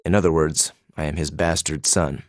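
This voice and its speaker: An actor playing a reserved, cynical young nobleman in a video game, speaking formally and with dry, measured phrasing. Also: very deep voice, fairly even intonation, no room sound. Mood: guarded, bitter. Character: reserved, cynical young illegitimate son of a duke. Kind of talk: English-language game voice acting.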